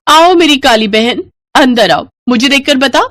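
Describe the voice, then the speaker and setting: A woman's voice: high-pitched, expressive, normal speed, fairly bright tone, dry recording, studio quality, pitched above the performer's own voice. A woman voicing a vain, scornful young sister in a melodramatic moral story, with a whiny, disdainful manner. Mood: haughty disgust. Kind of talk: melodramatic storybook character acting.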